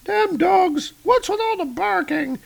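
A man voicing a scheming old widow who sells hunting dogs, with a high, grovelling, wheedling voice. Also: animated, slow, creaky, exaggerated voice. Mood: irritated, fawning.

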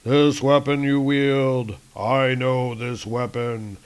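A man voicing an ancient, ominous male cosmic world-eater from a comic, speaking slowly and gravely. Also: medium pitch, level tone, close to an everyday voice.

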